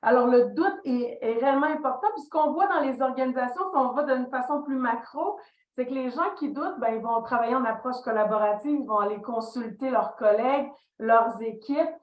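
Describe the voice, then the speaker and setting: Woman, middle-aged, Québécois French accent, higher voice, animated storyteller, echoey room. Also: brisk, muffled recording. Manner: animated storytelling, acts out quoted dialogue. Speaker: podcast guest, leadership consultant. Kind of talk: reflective interview talk.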